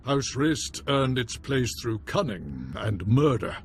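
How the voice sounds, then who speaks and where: Haughty baron, lively intonation. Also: male voice, medium pitch, slow, slight background noise. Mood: haughty, guarded. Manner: formal, pompous, dismissive. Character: haughty, cautious nobleman baron, dismissive husband. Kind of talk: scripted video game acting.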